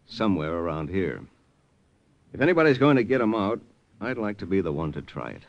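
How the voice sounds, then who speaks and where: An actor playing a wry, slangy American wartime flyer with an American accent in an old radio drama. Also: male voice, deep voice, sing-song, fairly quick, dry recording, highs cut off. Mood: easygoing, keen. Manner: slangy, wry, plain-spoken. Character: wisecracking American lieutenant, brash wartime flyer. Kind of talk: 1940s-50s radio drama acting, first-person narration. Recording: old radio recording, music behind.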